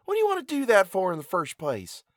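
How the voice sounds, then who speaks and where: A man's voice: high voice, wildly swooping pitch, fast talker, dry recording, studio quality, pitched above the performer's own voice, exaggerated voice. A man voicing a sullen young farm boy who speaks in guarded, rustic dialect.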